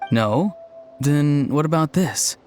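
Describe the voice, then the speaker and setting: An actor playing an easygoing, earnest young tinkerer in a video game, casual and matter-of-fact. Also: male voice, a little high, expressive, takes it slowly, over music. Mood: musing. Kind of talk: scripted video game voice acting.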